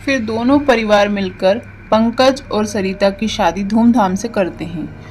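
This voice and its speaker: A woman's voice: medium pitch, unhurried, a little mellow, a little hiss or hum. A woman reading scripted story narration as the narrator of a tale.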